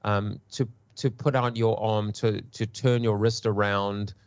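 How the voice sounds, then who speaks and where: South African-accented man, low voice, dry and muffled remote audio, halting guest on a panel. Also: fairly even intonation, no echo. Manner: hesitant, many restarts and repeated words. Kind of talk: casual podcast discussion.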